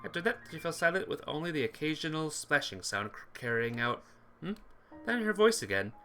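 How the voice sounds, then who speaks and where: Streamer reading a visual novel out loud, really animated n bright, chatty asides, game music in back. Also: mid-range voice, brisk. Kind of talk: game playthrough narration with chat asides.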